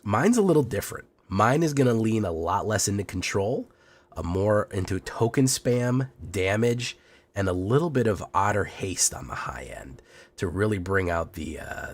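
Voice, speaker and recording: A young man hosting a card game channel, talking through his deck in a playful showman patter while sick, his voice rough. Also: low-pitched. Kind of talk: casual deck walkthrough.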